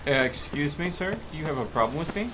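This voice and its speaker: A man's voice: a little high, expressive, fast talker, noisy background. A man giving casual commentary as he streams himself playing a video game. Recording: game music playing behind the voice. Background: video game music.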